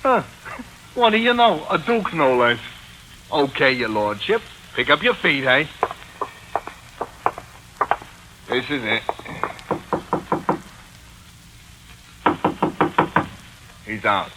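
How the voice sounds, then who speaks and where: An actor playing a scrappy, sneering hotel manager, slangy and cheeky, in 1940s radio style. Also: male voice, mid-range voice, big pitch swings, normal speed, natural voice. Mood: gruff, sarcastic. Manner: slangy, cheeky, ends remarks with "hey?". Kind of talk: 1940s radio character acting. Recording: noisy old 1940s radio broadcast.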